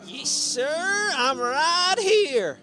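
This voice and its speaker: A cantankerous old villager in a radio drama. His voice is very high and swings widely. He is stubborn and indignant, full of old-fashioned country phrasing. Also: big pitch swings, drawn-out words, bright, forceful tone, faint music behind, exaggerated voice. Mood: proud, stubborn. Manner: crotchety, stubborn, rural idiom. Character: cantankerous old village man of seventy-seven or more. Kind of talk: radio play acting.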